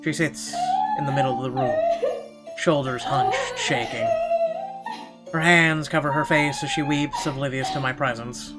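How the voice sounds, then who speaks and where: A man's voice: a little high, big pitch swings, moderate pace, over loud music. A man playing a horror game, reading its text aloud theatrically and adding sarcastic asides. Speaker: let's player.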